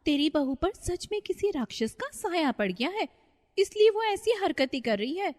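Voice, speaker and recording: A woman's voice: very high voice, big pitch swings, moderate pace, a little mellow, big-room sound, voice pushed up. A woman voicing a meddling, gossipy neighbour giving persuasive advice in a cartoon story. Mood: scheming.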